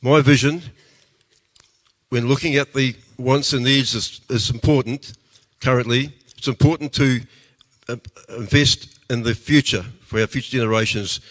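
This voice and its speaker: A man in his sixties with a New Zealand accent giving a campaign speech as a council candidate, halting, with pauses and repeated words. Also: mid-range voice, fairly even intonation, big-room sound. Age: 60s.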